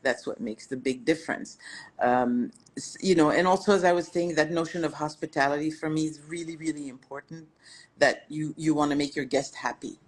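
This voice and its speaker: A woman's voice: deep voice, a little fast. An older woman from Lebanon whose first language is French, a restaurateur answering interview questions in a reflective way, with frequent fillers and restarts. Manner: warm-hearted, reflective, with many fillers and restarts. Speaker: guest, restaurateur.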